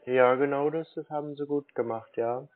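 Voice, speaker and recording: A man on a phone call, talking as a confused customer in a halting, dithering way and repeating himself. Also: medium pitch, fairly even intonation. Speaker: scam baiter playing a gullible customer. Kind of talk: phone call, slow put-on pensioner act. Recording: dull band-limited phone line.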